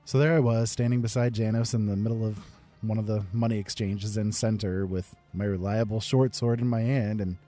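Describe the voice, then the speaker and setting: A man narrates a fantasy tale in the first person, wry and rueful. His voice is low and mellow, with faint music underneath. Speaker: storyteller.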